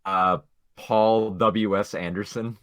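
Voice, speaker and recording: A man's voice: on the low side, steady tone, slow pace, a bit bright, no echo. A man chatting casually as a panelist on a podcast. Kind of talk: casual chat.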